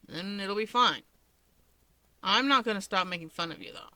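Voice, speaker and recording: Woman doing haughty grumpy older brother in a story, blunt and dry, bright tone. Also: medium pitch, normal speed, no room sound. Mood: grudging.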